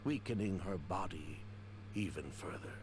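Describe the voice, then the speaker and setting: A man's voice: sits low, expressive, moderate pace, background noise. An actor playing an evasive, defensive businessman confessing past crimes in a video game. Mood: somber.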